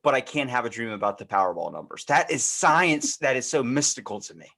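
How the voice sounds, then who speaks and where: A man's voice: medium pitch, sing-song, brisk, gravelly, bright, forceful tone. An American man hosting a podcast in casual conversation, telling rambling stories and acting out bits of dialogue as he goes. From United States. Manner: rambling storyteller, acting out quoted exchanges. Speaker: podcast host.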